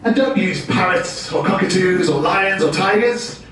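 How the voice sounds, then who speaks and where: A man's voice: high voice, moderate pace, fairly bright tone, a little hiss or hum. A British man giving a business seminar talk with a jokey, self-deprecating manner. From United Kingdom. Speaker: presenter. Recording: very echoey room, distant mic.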